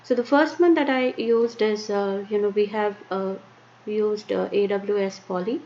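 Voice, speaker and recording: A woman with an Indian accent presenting a live-streamed tech lesson, talking haltingly with many fillers and restarts. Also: medium pitch, fairly even intonation, darkish tone. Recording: muffled lo-fi stream with faint noise.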